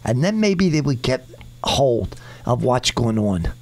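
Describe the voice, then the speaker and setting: A man's voice: medium pitch, big pitch swings, measured pace, a little mellow. A man hosting a veterans radio talk show, passionate and full of stammered restarts.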